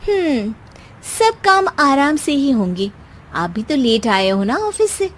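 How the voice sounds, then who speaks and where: A woman's voice: sits high, big pitch swings, slow pace, full character voice. Woman voicing a fed-up young housewife. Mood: complaining. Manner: complaining, sharp retorts.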